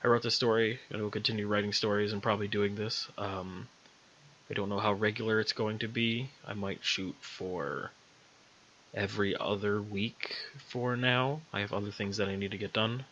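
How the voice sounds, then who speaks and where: Male storyteller, bright clear-cut tone, reading his own short fiction, slightly dull lo-fi recording. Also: lowish voice, fairly even intonation, moderate pace, slight background noise. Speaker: storyteller, author.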